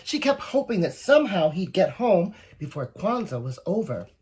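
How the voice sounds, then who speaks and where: A woman reading a picture book aloud to young children, expressive and encouraging, with asides to the listeners. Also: lowish voice, normal speed, slightly rough. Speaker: volunteer story reader.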